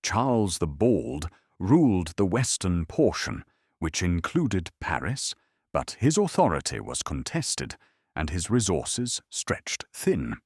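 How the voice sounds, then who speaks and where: A man with a very low, husky voice narrates a calm bedtime documentary. His delivery is measured and solemn, with wide rises and falls in pitch that shape each phrase like a storyteller. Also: no echo, studio quality.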